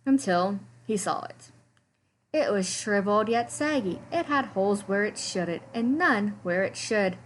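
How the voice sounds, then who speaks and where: A woman narrates a children's picture book at a mid-range pitch. She reads in an animated storytime style, leaning on the descriptive words for drama. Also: unhurried, slight background noise. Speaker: librarian reading storytime. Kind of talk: expressive picture-book read-aloud.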